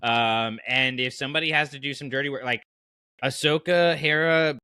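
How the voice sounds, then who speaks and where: A man hosting a casual fan podcast, talking in an animated, colloquial way and explaining his reasoning at length. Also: medium pitch, moderate pace, smooth, no echo, studio quality. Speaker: podcast host.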